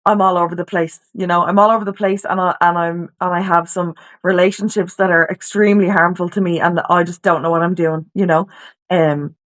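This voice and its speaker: A woman's voice: lowish voice, fairly even intonation, brisk. Chatty Irish woman telling her story. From Ireland, Dublin. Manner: chatty, informal, many restarts and "you know". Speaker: podcast guest. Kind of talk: candid personal story in conversation. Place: video call from home.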